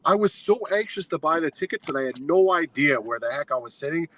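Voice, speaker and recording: A man as a podcast guest, telling a long fan story in a casual, animated, rambling way with lots of filler words. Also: on the high side, fast talker, a little hiss or hum, rough recording. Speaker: guest, fan sign maker.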